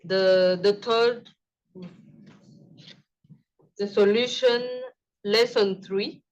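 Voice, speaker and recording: A woman moderating a panel, asking questions slowly and carefully, with some non-native grammar. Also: medium pitch, fairly even intonation. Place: conference side event. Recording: dull lo-fi audio.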